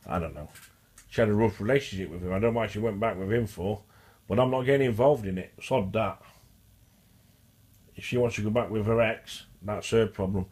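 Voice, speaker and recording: A man speaks in a fairly low voice, rambling casually to his viewers. He stutters, restarts often and circles back over the same phrases. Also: a little fast, darkish tone, husky. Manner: repetitive, restarts and repeats phrases. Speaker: vlogger. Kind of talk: casual rambling monologue to camera.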